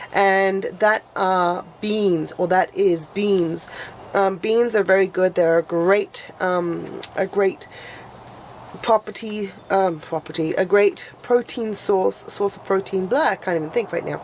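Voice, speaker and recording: A woman's voice: mid-range voice, poor audio. A woman talking casually to her viewers, her voice stuffy and nasal from a cold that hasn't quite gone. She rambles, loses her thread and starts over often. A faint noise sits under the recording. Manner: rambling, trails off and restarts. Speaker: vlogger. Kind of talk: casual chat to camera.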